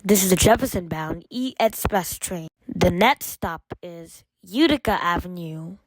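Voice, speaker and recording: Measured woman making dry, scripted transit announcements. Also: lowish voice, animated, no echo, rough recording. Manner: measured, formulaic, segmented phrasing. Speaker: automated train announcer.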